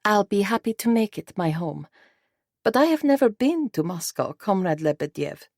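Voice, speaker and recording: A woman voicing an earnest, gentle young bride who speaks in a questioning way, as an audiobook character. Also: medium pitch, sing-song, moderate pace, no echo, studio quality, voice pushed up. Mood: polite, pleased.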